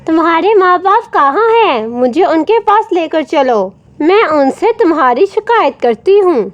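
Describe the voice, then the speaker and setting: A woman's voice: very high voice, sing-song, measured pace, no echo, muffled recording, voice pushed up, full character voice. A woman voicing a protective, indignant mother bird in a children's cartoon. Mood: scolding. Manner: indignant, emphatic. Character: protective, scolding mother bird, fierce for her son. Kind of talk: children's cartoon story acting.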